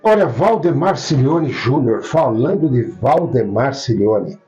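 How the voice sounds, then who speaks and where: A man's voice: medium pitch, lively intonation, measured pace, dark tone. A man with a Brazilian accent and a head cold, hosting a conversational interview and often echoing his guest's words. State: has a cold. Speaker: interviewer, host. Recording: dull lo-fi sound, faint music.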